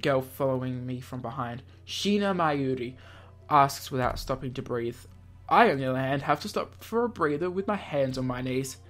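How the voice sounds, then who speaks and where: A man's voice: medium pitch, lively intonation, moderate pace, a bit bright, soft background music. A man hosting a let's play, reading visual novel text dramatically and breaking off for playful asides. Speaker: gaming video host reading aloud.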